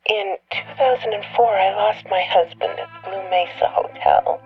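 Muffled lo-fi call, hesitant woman played by an actress, medium pitch, conversational storytelling, faint music behind. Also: normal speed, natural voice. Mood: nervous, reluctant. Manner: hesitant, self-correcting. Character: reluctant, anonymous woman caller recounting losing her husband. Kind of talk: conversational phone-in monologue. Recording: dull lo-fi tipline recording.

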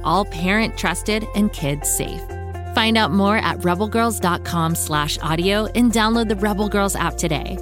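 A female announcer with a medium-pitched voice reads a scripted promo. Music is clearly heard underneath her. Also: a little slow.

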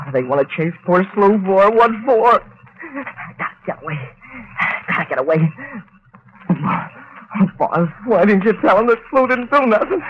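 An actor playing a frightened, simple-minded henchman on the run, pleading and stammering in broken grammar, in old-time radio drama style. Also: high voice. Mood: desperate, fleeing. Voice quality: whimpering, stammering, ungrammatical pleading. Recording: hissy, muffled vintage radio recording.

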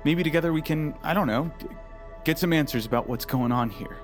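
An actor playing a troubled, earnest archivist in an audio drama, halting and unsure of himself. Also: male voice, a little high, faint music behind, lightly acted. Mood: tentative, resolved. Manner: halting, self-doubting.

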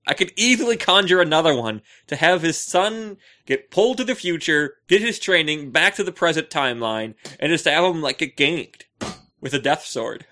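A man with a bright, forceful voice chats casually as a podcast co-host. His pitch moves around in a lively way, and his manner is jokey and theatrical. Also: normal speed. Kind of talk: casual chat.

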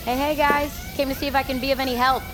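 An actress playing a friendly, easygoing and supportive crew member, casual and self-deprecating, in an audio drama. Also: female voice, high-pitched, little pitch movement, fast, close to an everyday voice, low-quality recording. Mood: friendly, joking. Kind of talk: naturalistic audio drama acting.

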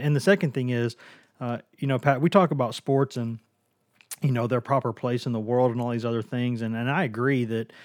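Hoarse man rambling on a sports podcast. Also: mid-range voice, dry recording, studio quality. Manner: rambling, restarts with repeated words. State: hoarse from a lingering cough. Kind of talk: casual sports talk.

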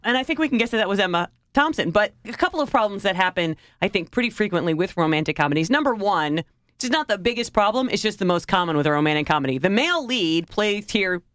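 A woman speaks as a co-host on a casual movie review show. Her pitch sits in the middle, and she leans on words and repeats them for emphasis. The sound is dry, with little room around it. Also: lively intonation, fast, a bit bright, no room sound. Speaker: film review podcast co-host. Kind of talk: casual conversational movie criticism.

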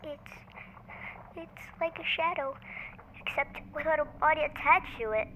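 A woman's voice: high voice, moderate pace, slight background noise, low-quality recording. A woman playing a frightened little girl calling an emergency line in an audio drama. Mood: scared, breathless urgency. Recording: loud music under the voice. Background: loud dramatic music.

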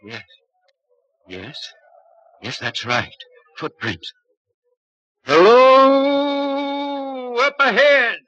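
An actor playing a gruff, commanding small-town doctor in an old-time radio horror drama, stern and bossy. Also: male voice, very high voice, sing-song, extremely slow, pauses often, pushed and bright, dry recording, heavily acted. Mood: stern, impatient. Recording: dull vintage radio recording.

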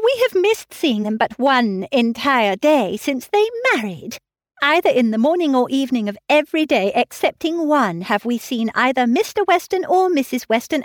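A woman's voice: a little high, no echo, clean studio sound, voice pushed up. A woman voicing a cheerful, reassuring young woman in a storybook reading.

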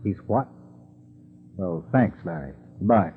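An actor playing a brusque, authoritative FBI bureau chief in a 1940s radio drama, with a husky voice. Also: male voice, low voice, animated, a little hiss or hum. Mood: brisk, businesslike. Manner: brisk, authoritative. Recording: tinny, muffled 1940s radio recording.

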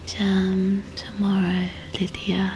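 A woman playing a soothing, quietly unsettling lover in audio fiction, gentle and measured in manner. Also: mid-range voice, completely flat delivery, poor audio. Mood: eerily tender.

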